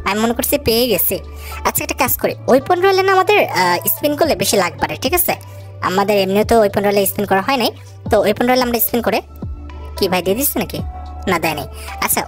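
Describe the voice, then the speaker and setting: A woman with a Bangladeshi accent hosting a gaming video, chatting casually to viewers as she comments on her gameplay. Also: on the high side. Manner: chatty, addresses viewers directly with casual asides. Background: background music.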